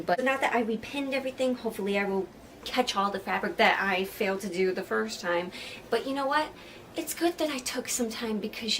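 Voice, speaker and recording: A woman's voice: medium pitch, fairly bright tone, slight background noise. A woman hosting a sewing vlog in a chatty, jokey, self-deprecating way, moving between casual asides and scripted narration. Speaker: sewing vlogger and host.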